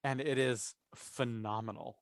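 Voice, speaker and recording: A man's voice: mid-range voice, steady tone, a little slow, crowd noise. A man talking casually and with emphatic enthusiasm as a panelist in a fan discussion podcast.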